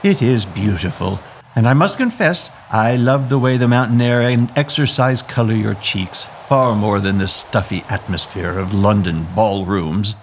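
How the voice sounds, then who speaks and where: An actor playing a grieving young English gentleman in a Victorian audio drama, speaking earnestly and formally. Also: lowish voice, moderate pace, a little hiss or hum, lightly acted. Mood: tender.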